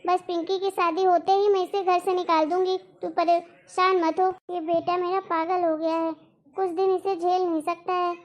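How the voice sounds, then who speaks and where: A woman voicing a greedy, bossy middle-aged mother-in-law in a Hindi cartoon drama, nagging and insistent, with a pitch-shifted voice. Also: level tone, moderate pace. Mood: coaxing, scheming. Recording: dull lo-fi sound.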